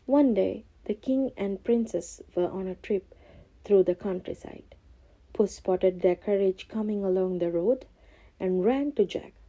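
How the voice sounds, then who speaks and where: A woman reading a scripted children's storybook as narrator, expressive and carefully phrased. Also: medium pitch, unhurried, muffled recording.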